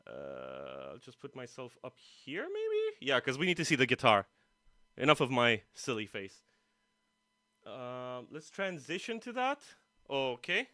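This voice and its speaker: Slow-talking man, bright voice, chatting on stream. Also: mid-range voice, huge pitch swings, no echo. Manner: self-deprecating, rambling asides to viewers and himself. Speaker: livestream host, guitarist. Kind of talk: casual livestream chat. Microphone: streaming mic, poorly placed. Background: music playing.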